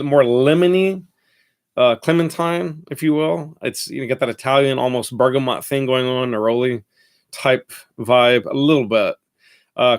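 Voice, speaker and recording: A man with a nasal voice, congested from a head cold, chatting through a casual fragrance review in a rambling, self-correcting way. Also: medium pitch.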